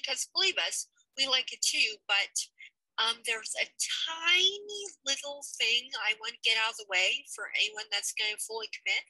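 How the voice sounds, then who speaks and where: A young American woman with a sharp, bright voice talks loosely on a headset mic. She sits close in a small, dry room, chatting through updates with fillers and a slightly higher pitch than most. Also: dry recording, low-quality recording. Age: young adult. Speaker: project lead of a fan dub. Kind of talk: casual video call update.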